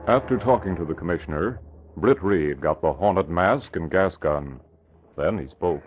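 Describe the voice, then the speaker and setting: A man's voice: low voice, lively intonation, moderate pace, highs cut off. A man narrating an old radio crime serial in scripted 1940s style. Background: brass music.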